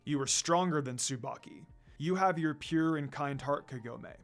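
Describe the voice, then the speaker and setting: A man plays a fatherly dog demon spirit from a fantasy story. The voice drops low and stays calm, measured and solemn, with kindly gentleness. Music plays underneath. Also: creaky.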